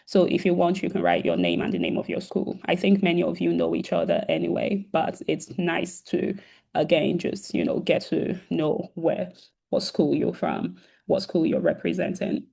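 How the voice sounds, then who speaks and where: Woman host, sore throat, lower register with some vocal fry, heavy "um" fillers, video call. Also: animated, moderate pace, dark tone. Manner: many "um" fillers, restarts, addresses students directly. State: sore throat, occasional coughing. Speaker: founder and host of a virtual career event. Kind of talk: casual welcoming talk on a video call.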